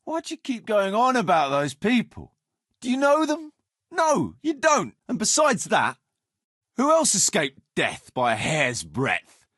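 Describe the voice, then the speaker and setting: A rough medieval fighter from a video game, leader of a hard-drinking band. His voice sits fairly high for a man and swings widely in pitch. He talks bluntly and coarsely, and the sound is dry and close. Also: huge pitch swings, unhurried, fairly bright tone, no room sound, heavily acted. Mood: indignant, defensive. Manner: blunt, earthy, swearing. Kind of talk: video game dialogue acting.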